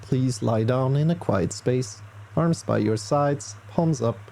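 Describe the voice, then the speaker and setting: A man leading a guided meditation as a yoga teacher, speaking calmly and gently with unhurried pauses. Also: mid-range voice, creaky, a little hiss or hum.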